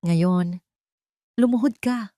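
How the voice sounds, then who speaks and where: A woman's voice: mid-range voice, slow pace, no room sound, studio quality, voice pushed up, lightly acted. A woman voicing a ruthless, frantic villainess in an audio drama, commanding and taunting. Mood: gloating. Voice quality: trembling, shouted delivery. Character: ruthless armed villainess, hateful, frantic, power-hungry.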